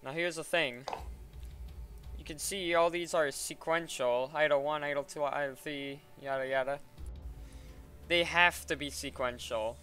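Man, fairly high voice, informal step-by-step tutorial, computer voice-over, faint music behind. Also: unhurried, bright, forceful tone. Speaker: tutorial presenter. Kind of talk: casual screen-recorded software tutorial.

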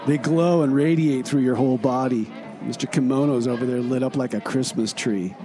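A man playing a giddy, tipsy party-goer who rambles excitedly in a comedy radio skit. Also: mid-range voice, fairly quick, music in the background, close to an everyday voice. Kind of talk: comedy radio theater monologue.

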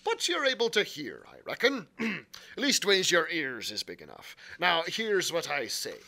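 A man voicing a cunning old male pirate quartermaster in a loud, rolling pirate drawl. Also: on the high side, huge pitch swings, unhurried, creaky, exaggerated voice. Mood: commanding.

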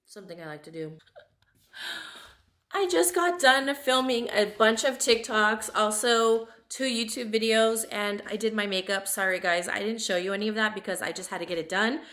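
A chatty woman in her thirties talks to a handheld camera in her kitchen, with a voice a little raspy from a cold. Her tone is bright and forward, and the room gives it a noticeable echo. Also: mid-range voice, moderate pace. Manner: chatty, candid, laughs often. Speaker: vlogger. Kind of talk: casual vlog chat. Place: home kitchen and living room. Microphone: camera held at arm's length. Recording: echoey room.

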